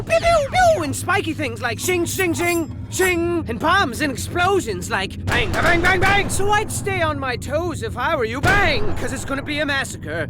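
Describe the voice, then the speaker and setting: A man narrating a game in a theatrical comic style, full of sarcastic asides and silly sound effects. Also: very high-pitched. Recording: game audio with loud music.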